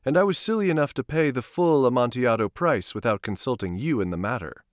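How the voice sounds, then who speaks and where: A man's voice: mid-range voice, lively intonation, fast talker, no room sound, clean studio sound, natural voice. Man playing a sly, courteous gothic aristocrat. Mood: feigned warmth. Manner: courteous, smooth-talking, feigned reluctance. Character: sly, falsely solicitous aristocrat luring his friend.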